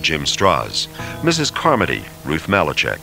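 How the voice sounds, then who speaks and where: A man's voice: very deep voice, wildly swooping pitch, a little slow, creaky, over music. A man reading radio drama credits as a scripted announcer.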